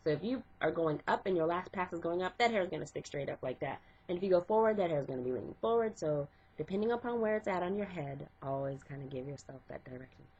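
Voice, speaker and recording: A woman's voice: on the low side, lively intonation, fast talker, few pauses, darkish tone, husky. A middle-aged woman and hairstylist, congested from a cold, talking step by step through a casual hair tutorial. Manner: step-by-step, conversational, with fillers. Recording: dull lo-fi home recording.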